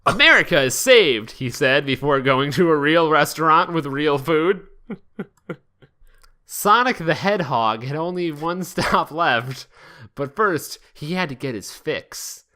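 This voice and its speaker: A man's voice: a little high, takes it slowly, pushed and bright. A man hosting a podcast, reading fan fiction aloud in a casual comedic way and stumbling over the odd word. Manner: often breaks into laughter, stumbles over words. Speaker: podcast host.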